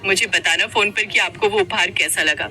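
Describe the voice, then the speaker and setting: A woman voicing a gentle, dutiful young stepsister in a cartoon family story. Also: mid-range voice, moderate pace, faint music behind. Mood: warm, hopeful.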